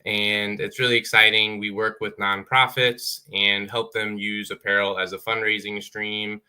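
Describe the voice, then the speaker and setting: Bright, clear-voiced man business owner. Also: lowish voice, level tone, normal speed, rough recording. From Dayton, Ohio. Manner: earnest, many "uh" fillers. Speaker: apparel business owner guest. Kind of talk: casual panel chat.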